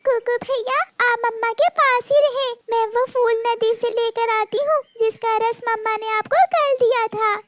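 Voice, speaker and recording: A woman's voice: extremely high pitch, fairly even intonation, unhurried, hardly any pauses, no echo, studio quality, pitched above the performer's own voice. A woman voicing a little girl bird in a cartoon, a tearful, protective big sister who speaks in a pleading way. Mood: urgent. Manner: pleading, plaintive.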